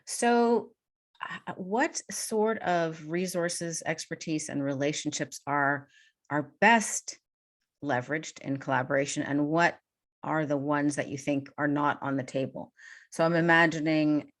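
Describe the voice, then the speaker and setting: A woman's voice: sits low, measured pace. A woman interviewer in a conversational discussion, thoughtfully probing and summing up what she is told. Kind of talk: conversational interview, recapping and questioning.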